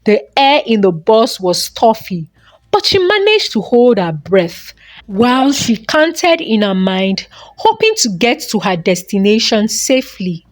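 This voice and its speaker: A woman's voice: a little high, very animated, a little slow, no echo. A woman narrating a folktale as a dramatic storyteller.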